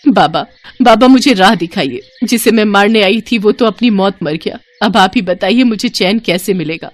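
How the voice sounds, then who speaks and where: A woman's voice: mid-range voice, moderate pace, lightly acted. A woman voicing a grieving, vengeful female snake shapeshifter in a storybook tale, dramatic and emotional. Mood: pleading.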